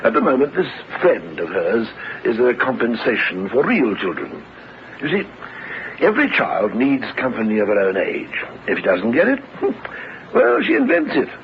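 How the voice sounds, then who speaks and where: An actor playing a calm, kindly family doctor in a radio drama, explaining in a professional way. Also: male voice, medium pitch, normal speed. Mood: reassuring. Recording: dull lo-fi radio recording, faint noise.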